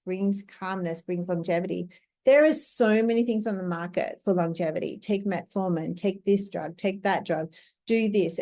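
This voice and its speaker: A woman's voice: mid-range voice, darkish tone. A woman of fifty talking casually as a wellness coach. She explains things step by step and keeps checking in with "right?". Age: 50s. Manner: chatty, explains step by step, often adds "right?". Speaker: Ayurveda coach. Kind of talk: casual talk to camera.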